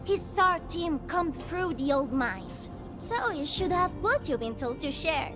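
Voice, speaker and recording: A helpful young female guide in a video game. Her voice is very high and animated, with clear game music behind it. She comes across as cheerful and keen. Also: unhurried.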